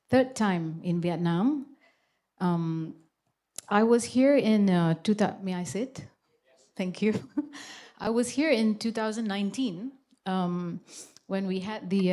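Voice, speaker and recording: A woman from Malaysia speaks at a conference in a relaxed, chatty way, full of ums and little asides. Her voice is mellow and warm, at a middle pitch. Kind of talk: casual personal talk on stage. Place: conference hall.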